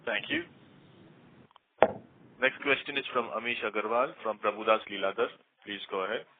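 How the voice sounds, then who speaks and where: A man's voice: on the low side, normal speed. A man working as conference call operator, formally moderating the questions. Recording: choppy noisy phone line.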